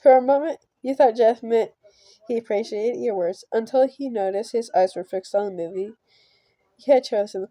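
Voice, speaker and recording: A giggly woman reading a visual novel aloud with casual commentary, halting and stumbling over words. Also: a little high, dark tone, no echo. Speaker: gaming channel host.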